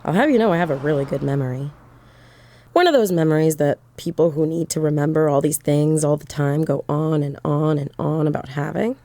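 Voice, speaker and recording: A woman's voice: low voice, brisk, warm tone. An actress playing a lonely woman driving at night, confiding in a wry, digressive monologue for an audio horror drama. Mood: wry, rambling. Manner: wry, digressive, musing, breaking off into asides. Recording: music under the voice.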